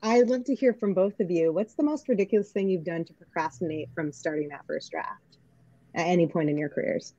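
A woman's voice: on the low side, brisk, mellow. A woman, hosting a casual chat over a video call. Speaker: host. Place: indoor room at home. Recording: dry, slightly dull video-call audio.

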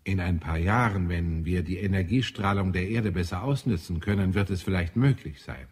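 An actor playing a composed, dutiful Martian chief administrator in an audio drama, formal and authoritative. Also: male voice, deep voice, normal speed, slightly rough. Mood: concerned, measured. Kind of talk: German audio drama acting.

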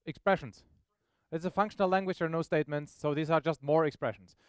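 Male tech presenter, high clear voice, informal and explanatory, dry close sound. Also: quick pace, no echo. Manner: informal, explanatory, checks in with questions. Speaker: software presenter. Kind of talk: live technical demo to an audience. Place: meetup presentation room, on stage.

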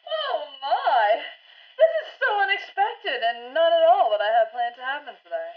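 An actress playing a vain, theatrically astonished wife with an exaggerated manner in an old-time radio play. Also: female voice, high voice, sing-song, normal speed. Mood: feigned surprise. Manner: exaggerated, swooping. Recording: dull radio-play sound.

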